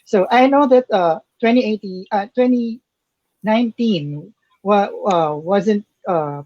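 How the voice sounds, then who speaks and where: A woman hosting an interview, asking questions in a halting way with frequent restarts. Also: mid-range voice, warm tone, no echo, dull audio. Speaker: interview host.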